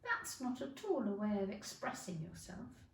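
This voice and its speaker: A woman's voice: medium pitch, sing-song, normal speed, creaky. A woman voicing an earnest, anxious, slightly prim little girl in a storybook. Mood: prim, serious. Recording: echoey room, distant microphone.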